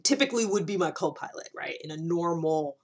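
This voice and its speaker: A woman's voice: lowish voice, animated, brisk. A woman hosting a series as a casual solo explainer, talking informally and often breaking off into asides. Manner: informal, rambling and self-interrupting, with frequent asides. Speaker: host of a personality-typing series.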